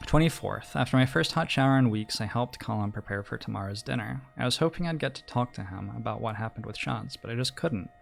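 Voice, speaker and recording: A man reading the text of a story game aloud in measured phrases, as a streamer narrating. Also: mid-range voice, lively intonation, creaky, a little hiss or hum.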